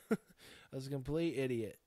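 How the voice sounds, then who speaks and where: A man's voice: medium pitch, moderate pace, warm tone, no echo. A man in his thirties with a New Zealand accent, hosting a podcast alone, talking bluntly and frankly, swearing freely as he rambles. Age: 30s. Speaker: podcast host. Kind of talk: candid solo podcast monologue.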